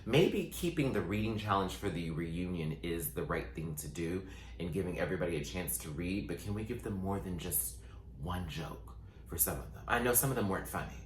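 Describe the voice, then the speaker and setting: A man chatting sassily through a casual recap, his voice still low and groggy from just waking up. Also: a bit bright, echoey room, a little hiss or hum. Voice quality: voice sitting lower than his normal. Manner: sassy, playful, quick asides. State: just woke up, morning voice. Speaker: vlogger and show recapper.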